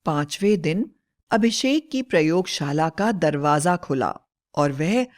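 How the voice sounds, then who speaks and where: Slow-paced woman storyteller. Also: sits low, expressive. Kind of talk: scripted narration of a children's moral story.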